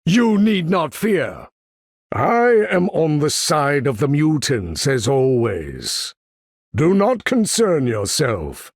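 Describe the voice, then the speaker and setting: An imperious older mutant supervillain from a video game. The voice is pitched a little high for a man, husky and heavy with gravel, in a close, dry recording. Every phrase is grandiose and deliberate, carrying menace and formal authority. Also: huge pitch swings, no room sound, heavily acted. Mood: solemn assurance. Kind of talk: theatrical video game character voice lines.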